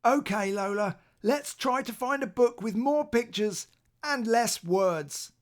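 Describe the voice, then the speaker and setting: A woman voicing a patient, reasonable young boy, an older brother in a children's storybook, calmly coaxing and explaining. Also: fairly high pitch, slow. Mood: helpful. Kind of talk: children's storybook read aloud.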